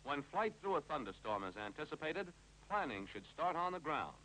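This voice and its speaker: A man's voice: a little high, very animated, normal speed, bright, forceful tone. An American man reading scripted instructional narration for a 1950s training film, with a clipped, authoritative delivery. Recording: noisy 1950s film soundtrack with hum.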